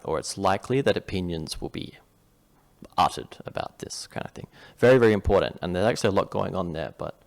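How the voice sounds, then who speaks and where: A man's voice: fairly low pitch, vocal fry. A man from Western Australia with an Australian accent, explaining a Japanese reading lesson to viewers in a chatty, rambling way with frequent restarts. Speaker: Japanese tutor. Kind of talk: casual screen-share reading lesson.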